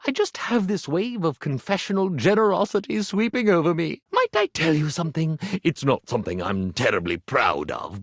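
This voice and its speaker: A man's voice: a little high, no room sound, clean studio sound, exaggerated voice. An actor voicing a vain male stage magician who is a living clothes iron in a video game, speaking in a grandiose, flamboyant showman's manner. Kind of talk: theatrical video game character acting.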